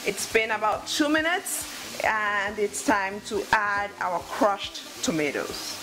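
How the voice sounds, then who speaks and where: A woman's voice: medium pitch, lively intonation, slow pace, low-quality recording. Woman narrating a recipe over music. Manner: step-by-step instructing in short phrases. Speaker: cooking show host. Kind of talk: instructional cooking narration. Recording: music under the voice.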